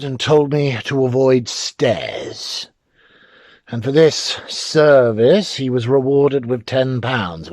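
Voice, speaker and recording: A man playing an ailing, lonely, fussy bachelor academic in a dramatic ghost-story monologue, musing hesitantly and theatrically in a husky voice. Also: medium pitch. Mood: dry disdain. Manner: hesitant, musing, theatrical, with murmured asides and swelling emphasis.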